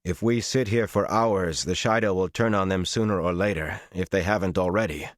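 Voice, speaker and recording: A man's voice: sits low, normal speed, no echo, studio quality, natural voice. Earnest, urgent young warrior acted by a man. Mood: urgent, anxious. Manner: firm, urgent, pleading. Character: earnest, anxious young male warrior from the country. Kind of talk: audiobook character acting.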